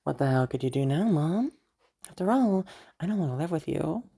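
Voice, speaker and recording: A woman's voice: low-pitched, lively intonation, moderate pace, vocal fry, warm tone, breathy edge, full character voice. A woman playing a defiant grown daughter standing up to her mother, sarcastic and pointed, in an audio roleplay. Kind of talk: amateur audio roleplay acting.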